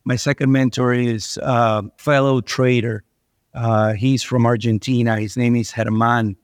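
A man's voice: medium pitch, little pitch movement, a little slow, clean tone, no echo, clean studio sound. A middle-aged man from Venezuela, a guest answering interview questions with many fillers and restarts. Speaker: investment manager guest.

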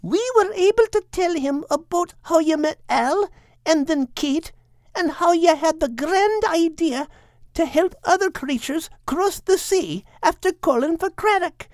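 A man as a high, kindly female animal friend. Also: lively intonation, a little slow, no echo, clean studio sound, heavily acted. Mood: consoling, affectionate. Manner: soothing, folksy dialect. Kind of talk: audiobook character voice.

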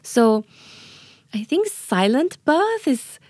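A woman's voice: high-pitched, very animated, takes it slowly, a little mellow, no echo, clean studio sound. A woman working as a doula, explaining birth in an earnest interview manner and often checking in with "right?" and "you know". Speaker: doula, guest.

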